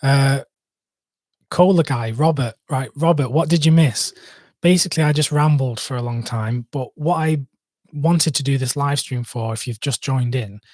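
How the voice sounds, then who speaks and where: A man's voice: medium pitch, moderate pace, a little mellow, no echo, studio quality. A young man from Manchester with a Mancunian accent, chatting casually on a live stream, rambling and hesitant with many fillers and restarts. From Manchester, England. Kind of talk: casual live stream chat answering viewers.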